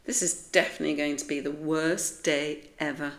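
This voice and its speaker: A woman's voice: low voice, steady tone, normal speed. Woman voicing anxious, grumbling little boy cat. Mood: gloomy. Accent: British. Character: anxious, grumbling young boy cat, reluctant and easily upset.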